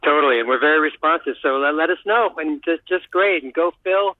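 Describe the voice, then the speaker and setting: Male co-host phoning in, quick and eager, thin phone-line sound. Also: on the high side, no room sound. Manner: enthusiastic, rapid, full of fillers. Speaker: magazine editor and co-host. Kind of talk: casual radio chat.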